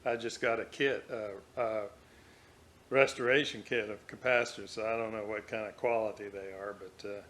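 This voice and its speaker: A man narrating an unscripted workbench vlog as a hobbyist restorer, speaking haltingly in short, hesitant phrases. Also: lowish voice, little pitch movement, fairly bright tone. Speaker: hobbyist radio restorer presenting own video. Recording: clearly audible background noise.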